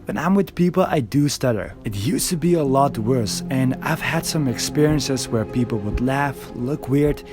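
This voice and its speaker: A man narrates a scripted video essay in an earnest, reflective tone. His voice sits at an ordinary middle pitch. Soft music plays faintly behind him. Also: normal speed, few pauses. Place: recorded alone.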